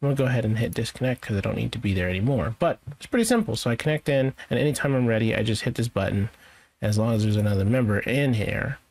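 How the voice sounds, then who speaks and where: Casual man with a gravelly edge. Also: mid-range voice, lively intonation, quick pace, darkish tone. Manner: informal, thinking aloud, lightly joking. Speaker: tech tutorial presenter. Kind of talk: casual screen-share software walkthrough.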